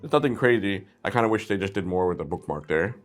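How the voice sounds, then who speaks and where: Male review host, lowish voice, informal and playful, chats directly to the audience. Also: lively intonation, quick pace, soft background music. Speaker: host of a brick-set review channel. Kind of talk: casual chatty product review.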